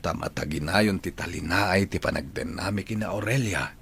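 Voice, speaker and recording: An actor with a Filipino accent playing a devoted older suitor in a sentimental radio drama, speaking earnestly and tenderly. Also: male voice, sits low, moderate pace, creaky. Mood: grateful, warm.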